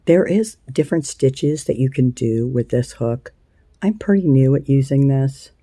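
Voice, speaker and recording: A woman with a scratchy voice hosting a casual crochet tutorial, chatty and unhurried, with plenty of ums and uhs. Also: a bass voice, animated, warm tone, dry recording, studio quality. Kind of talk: casual hands-on craft tutorial.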